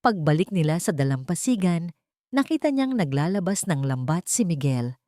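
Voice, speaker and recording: A woman's voice: on the low side, sing-song, normal speed, dark tone, no echo, studio quality. A woman with a Filipino accent reading a scripted love story as a dramatic narrator.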